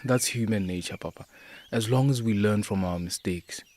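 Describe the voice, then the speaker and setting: Man playing gentle, forgiving son, lowish voice. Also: normal speed, a little hiss or hum, close to an everyday voice. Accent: southern African. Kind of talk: radio drama acting.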